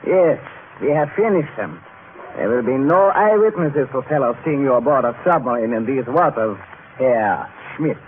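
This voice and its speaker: An actor playing a commanding German submarine captain with clipped, dismissive authority in an old radio drama. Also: male voice, mid-range voice, expressive, moderate pace, close to an everyday voice. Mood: cool, dismissive. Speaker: ship's captain. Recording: noisy, tinny old radio recording.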